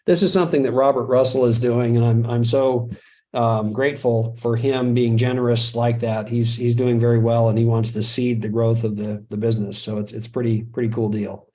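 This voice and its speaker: A man's voice: medium pitch, little pitch movement, moderate pace, mellow, a little hiss or hum. A middle-aged American man hosting a live webinar in a casual, chatty way, joking at his own expense and chuckling often. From United States. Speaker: webinar host.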